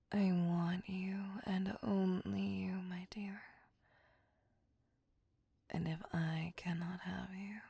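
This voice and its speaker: A woman's voice: fairly low pitch, gravelly, dark tone, breathy edge, no echo, heavily acted. A woman playing a seductive, possessive vampire in an intimate ASMR roleplay, whispering breathily with formal, courtly tenderness. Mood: possessive, menacing. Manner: slow, intimate, formal, coaxing endearments.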